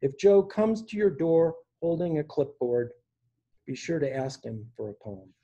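A man in his sixties reading poetry aloud in a measured way, pausing between phrases, recorded at home on a video call. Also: fairly high pitch, dark tone, dull audio. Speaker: poet reading own work. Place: indoor room at home. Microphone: device mic on a video call.

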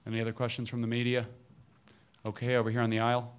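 A man moderating a press conference with brisk procedural announcements. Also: medium pitch, completely flat delivery, clear, resonant tone.

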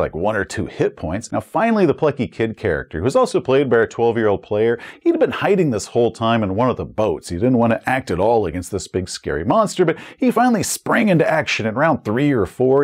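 American guy in his 40s doing game review commentary, super animated pitch, bit of husk in the voice, chatty and punchy. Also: husky. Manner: conversational, emphatic, storytelling. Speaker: tabletop RPG reviewer and host. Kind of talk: scripted review commentary with game-master anecdotes. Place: small indoor room with bookshelves and curtains. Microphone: lapel mic.

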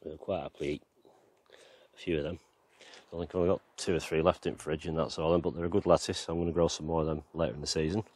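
A man's voice: very deep voice, steady tone, fast, a little mellow. A man giving a casual, rambling tour of his allotment and back garden outdoors, with lots of fillers, filmed on a handheld camera. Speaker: gardening vlogger.

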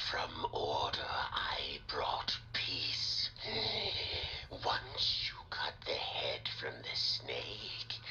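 An actor playing a grim male ruler who speaks in a slow, hushed, ominous way. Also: very deep voice, exaggerated voice. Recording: muffled lo-fi sound.

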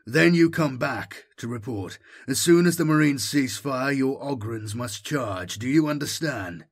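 Male narrator as brusque army commander, husky, barked curt delivery, audiobook sci-fi. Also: medium pitch, lively intonation, unhurried. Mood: commanding.

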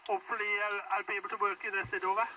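A man's voice: high-pitched, level tone, very fast. A man chatting casually as a CB operator, heard over a radio. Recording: distant CB radio speaker with loud static.

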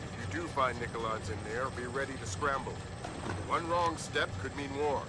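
An actor playing a stern spy agency commander in a video game, giving orders in a clipped, commanding manner. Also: male voice, mid-range voice, fairly even intonation, normal speed, very noisy, close to an everyday voice. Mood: warning. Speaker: mission handler.

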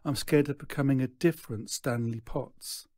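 A man's voice: medium pitch, moderate pace, a little mellow, dry recording, clean studio sound, pitched above the performer's own voice, lightly acted. A man voicing a nervous, earnest young boy in a storybook, hesitant and confessing.

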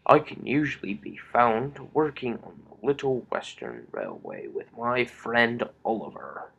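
A man's voice: medium pitch, very animated, a little slow, vocal fry, a bit bright, a little hiss or hum, exaggerated voice. A man voicing a proper, hearty railway engine from a children's cartoon, self-assured and correct. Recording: dull lo-fi home recording.

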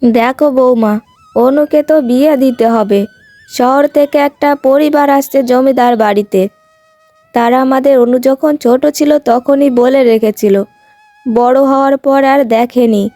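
A woman's voice: high voice, measured pace, warm tone, dry recording, soft background music. A woman voicing a stern, emphatic mother of a grown daughter in a cartoon tale. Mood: practical, hopeful. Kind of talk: cartoon moral-tale voice acting.

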